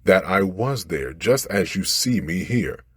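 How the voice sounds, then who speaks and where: Man voicing a deep, husky, menacing genie. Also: expressive, no echo, studio quality, exaggerated voice. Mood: commanding, solemn. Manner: archaic, commanding, unhurried pronouncements. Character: stern, ungrateful, menacing genie freed from long imprisonment. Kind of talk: storybook character voice.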